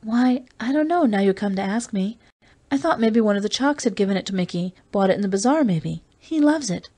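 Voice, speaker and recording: A woman reading an audiobook, voicing a plump motherly woman who speaks in a soothing, gently rebuking way. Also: medium pitch, animated, brisk, natural voice. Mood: placid.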